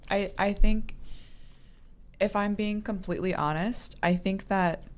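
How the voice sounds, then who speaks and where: A woman's voice: medium pitch, fairly even intonation, unhurried. A woman hosting a podcast in a studio, chatting in an eager, agreeable way. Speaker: podcast host. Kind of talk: casual interview chat.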